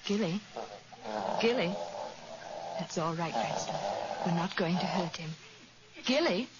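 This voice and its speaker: A woman's voice: lowish voice, lively intonation, unhurried. A woman acting a frank, well-bred young woman, genteel and forthright, in a 1940s radio drama. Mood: tender. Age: young adult. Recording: noisy, dull old radio recording.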